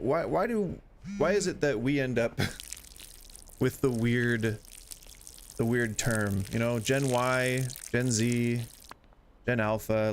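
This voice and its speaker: A man's voice: medium pitch, vocal fry, a little hiss or hum. A man in his forties chatting casually and rambling while playing a game, joking about getting old. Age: 40s. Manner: rambling, self-deprecating, chatty. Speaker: gaming streamer. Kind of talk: casual gameplay chat.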